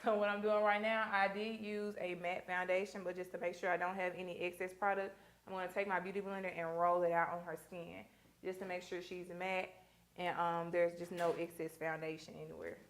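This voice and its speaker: A woman's voice: on the low side, level tone, fairly quick. A woman, a makeup artist chatting casually and explaining her steps as she works in a beauty studio. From Mississippi. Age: 20s. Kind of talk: casual chat while working.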